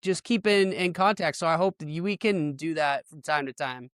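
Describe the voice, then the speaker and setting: A man's voice: a little high, moderate pace, a bit bright, smooth, no echo, studio quality. A middle-aged American man hosting a casual podcast chat in an informal, slangy way. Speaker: podcast host.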